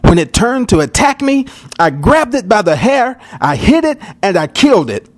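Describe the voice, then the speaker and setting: Man playing a brave, high-pitched teenage shepherd boy. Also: big pitch swings, normal speed. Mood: proud, determined.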